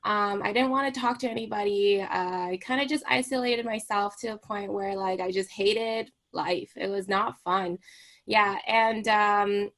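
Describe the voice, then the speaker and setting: Young woman from Hong Kong, radio guest, chatty and filler-heavy, mid-pitched voice. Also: steady tone, moderate pace, a bit bright. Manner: chatty, many fillers and "right?" tags. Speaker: recreation therapist guest. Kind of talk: casual radio interview. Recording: radio studio interview.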